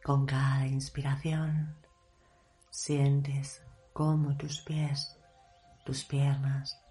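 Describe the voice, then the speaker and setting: A woman leading a guided meditation in a hushed, measured delivery, leaving long pauses between short phrases. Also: extremely low pitch, little pitch movement, soft background music. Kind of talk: guided meditation, slow soothing narration.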